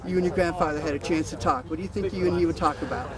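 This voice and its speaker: Rich: A man with a notably high voice asks questions politely and deferentially. Crowd chatter can be heard clearly behind him. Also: rapid-fire. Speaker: interviewer. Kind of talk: field interview.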